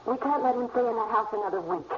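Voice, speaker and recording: A woman's voice: fairly high pitch, fast talker, lightly acted. A woman playing a firm, insistent grown daughter in a radio drama. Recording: dull, noisy radio drama recording.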